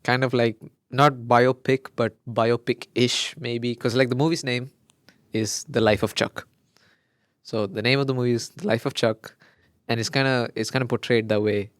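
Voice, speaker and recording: Excitable young man chatting about movies. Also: medium pitch, moderate pace, dry recording, studio quality. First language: Malayalam. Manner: excitable, gushing, trails off mid-sentence. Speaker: podcast co-host. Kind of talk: casual chat.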